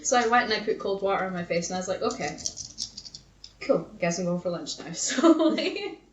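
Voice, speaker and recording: A woman's voice: mid-range voice, fairly quick. A woman co-hosting a podcast in casual, candid chat, swearing freely and acting out her own thoughts as she tells stories. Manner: sweary, animated storytelling with quoted self-talk. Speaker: podcast co-host. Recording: echoey room, dull muffled sound.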